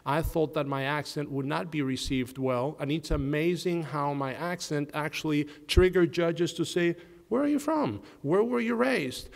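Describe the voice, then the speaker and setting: A man's voice: fairly high pitch, moderate pace, vocal fry, in a large hall. A man with a noticeable non-Southern accent, a defense attorney speaking earnestly on a conference panel, posing questions and answering them himself. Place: law school event hall.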